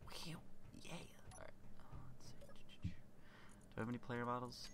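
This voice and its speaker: A man's voice: mid-range voice, moderate pace, in a whisper. A young man hosting casual gameplay commentary, stuffed up with a cold and rambling as he thinks aloud. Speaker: gaming channel host.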